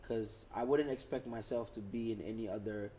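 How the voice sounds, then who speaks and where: A man's voice: fairly low pitch, normal speed, slight background noise. A young man from the Netherlands, a music artist chatting casually in a podcast interview, often repeating and restarting his words. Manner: relaxed, repeats words and restarts, many fillers.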